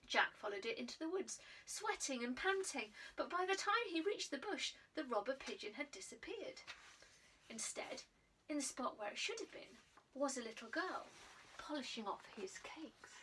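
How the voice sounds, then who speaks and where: A woman reading her own children's book aloud, expressive and playful, sometimes dropping to a near-whisper. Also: sits high, normal speed, recorded from a distance, a little hiss or hum, poor audio. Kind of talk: children's storybook narration.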